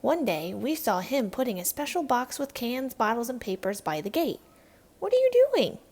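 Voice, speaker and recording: A woman reading a children's picture book aloud in an expressive storytelling style. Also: on the high side, normal speed. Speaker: read-aloud narrator.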